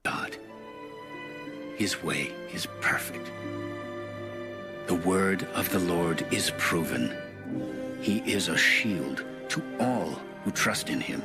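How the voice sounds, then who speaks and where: Deep, slow man reading scripture over music. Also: expressive. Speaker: Bible narrator.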